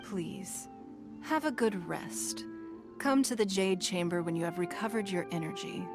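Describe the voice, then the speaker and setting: A woman's voice: medium pitch, expressive, measured pace. A woman playing a dignified, gracious noblewoman leader in a video game, composed, eloquent and formal. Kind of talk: scripted video game character acting. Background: music.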